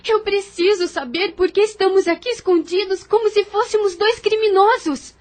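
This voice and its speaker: Woman doing a worried young sister in an audio drama, high voice, insistent, firm. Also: steady tone, moderate pace.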